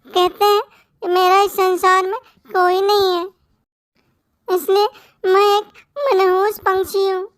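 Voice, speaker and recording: A woman's voice: extremely high pitch, flat delivery, slow, no room sound, studio quality. A woman voicing a sad, timid little orphan bird in a cartoon, with a plaintive, tearful way of talking. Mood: sad, pleading. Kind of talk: Hindi moral-story cartoon acting.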